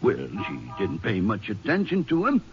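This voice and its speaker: An actor playing a folksy, nervous small-town Texas marshal with a rural Southern accent, rambling and hesitant, in 1950s radio drama style. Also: male voice, fairly low pitch, huge pitch swings, moderate pace, creaky, faint music behind, heavily acted. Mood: worried, talkative. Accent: rural American Southern. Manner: drawling, rambling, hesitant with uhs. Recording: dull old radio recording, faint hiss.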